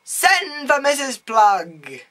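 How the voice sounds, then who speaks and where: A man voicing a hearty ship's captain in a children's storybook, calling out loudly and emphatically. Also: very animated, extremely slow, heavily acted. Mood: emphatic. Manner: booming, emphatic calls.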